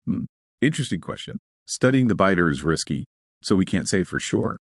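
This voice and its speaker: Man voicing unruffled veteran station crewman, low. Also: big pitch swings, a little fast, no echo, clean studio sound, full character voice. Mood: musing.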